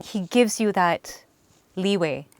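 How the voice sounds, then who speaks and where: A young woman, a singer, telling lively stories in an interview, laughing and acting out what people said. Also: mid-range voice, slow pace, dry recording. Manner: animated, laughing, acts out quotes. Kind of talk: storytelling in an interview.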